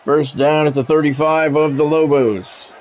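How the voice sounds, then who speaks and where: A man with a slightly high voice calls a football video game like a radio announcer, in short, clipped phrases with candid asides. The recording is dull and lo-fi, with faint noise behind him. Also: steady tone, unhurried. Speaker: video game streamer and play-by-play commentator. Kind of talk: live sports play-by-play commentary over a football video game.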